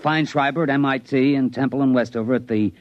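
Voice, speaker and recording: An actor playing a cocky American army private with a sardonic, slangy manner in 1950s radio drama style. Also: male voice, medium pitch, fairly even intonation, fast talker, dry recording, natural voice. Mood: eager, explaining. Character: cocky wisecracking army private, science-fiction writer turned schemer. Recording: muffled 1950s radio recording, faint noise.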